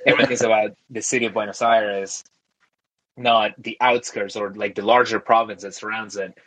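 A young man from Argentina, a guest talking casually in an interview, relaxed and talkative with frequent fillers and restarts. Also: fairly low pitch, normal speed, low-quality recording. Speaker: guest, tech founder.

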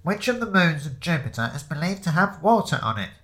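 A man voicing a pub quizmaster in a story, announcing trivia questions in a measured, projected way. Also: high-pitched, sing-song, bright, forceful tone, voice pushed up, full character voice. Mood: formal, unbothered.

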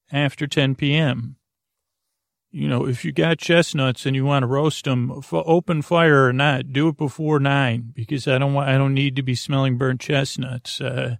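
Rambling, gravelly-voiced man. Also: medium pitch, no echo, clean studio sound. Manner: meandering, stammering, silly. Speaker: sleep podcast host. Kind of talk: rambling bedtime monologue.

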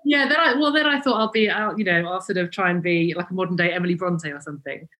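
This is a woman in her forties, talking as a podcast guest. Her voice sits fairly low and she speaks fast, full of ums, restarts and self-deprecating asides. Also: sharp tone. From England (Cambridgeshire). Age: 40s. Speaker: guest, founder of a vegan brand. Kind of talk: reflective interview conversation.

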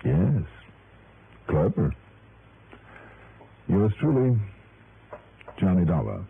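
A man's voice: low-pitched, sing-song, drawn-out words, gravelly, background noise, full character voice. An actor playing a self-assured American investigator, narrating his case in a wry, conversational 1960s radio style. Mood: wry, satisfied. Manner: wry, conversational, punchy storytelling. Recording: tinny old radio broadcast with music.

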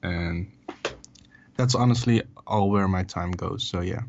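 Man, twenties, Dutch accent, non-native English, very deep voice, halting and relaxed, muffled call sound. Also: slight background noise. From Netherlands. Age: 20s. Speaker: musician guest. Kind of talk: casual interview over a call. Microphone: call audio.

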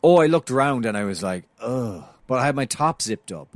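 A man's voice: mid-range voice, lively intonation, fairly quick, dry recording. A man co-hosting a soccer podcast with a non-American English accent, telling stories in a casual, chatty way with frequent fillers and restarts. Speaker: podcast co-host.

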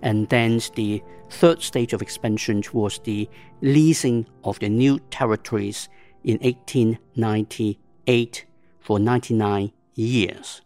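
A man, a professor, answers interview questions in a deliberate, academic manner. Music plays clearly under his voice. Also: on the low side, darkish tone.

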